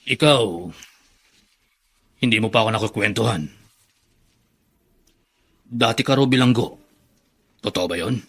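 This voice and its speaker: Curious household gardener: plain-spoken, raspy, casual Filipino radio drama delivery. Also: male voice, mid-range voice, measured pace, long pauses.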